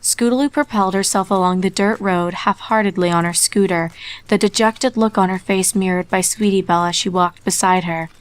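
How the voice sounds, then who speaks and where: A woman narrates a dark fan story at a mid pitch. She reads in a measured way and drops to a hush for the eerie parts. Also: fairly even intonation, smooth. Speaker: reader.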